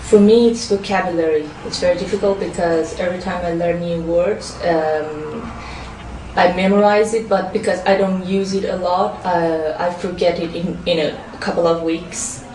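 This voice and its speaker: A woman's voice: on the low side, steady tone, moderate pace, darkish tone. A woman whose first language is Farsi, answering speaking test questions in a hesitant way with many fillers and restarts. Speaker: exam candidate. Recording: roomy, distant mic, clear background noise.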